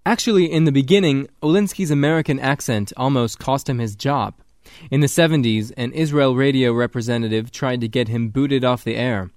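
A male radio reporter reading a scripted news story in an even, clear manner. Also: mid-range voice, brisk, no room sound, clean studio sound.

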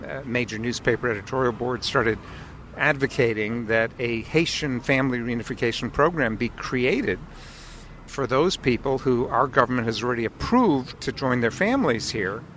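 A man's voice: mid-range voice, lively intonation, moderate pace, a bit bright, slight background noise. A man interviewed as an advocate, explaining a policy issue with the odd filler. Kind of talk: interview clip.

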